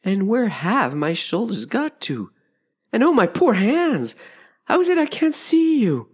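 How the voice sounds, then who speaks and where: A storybook reader voicing a curious, polite little girl who is earnest and quick to exclaim. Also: mid-range voice, sing-song, breathy edge, big-room sound. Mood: alarmed, bewildered. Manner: earnest, exclaiming, hesitant when challenged. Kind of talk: acted storybook character voice.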